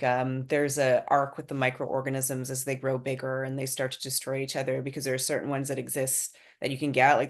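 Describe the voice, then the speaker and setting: Woman, very deep pitch, little melodic movement. Earnest screenwriter in casual conversation. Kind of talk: casual chat about a script.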